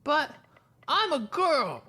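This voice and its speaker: A woman's voice: high voice, very animated, slow, pushed and bright, dry recording, full character voice. A woman playing a curious, insistent little girl in an audio drama, childlike and pleading.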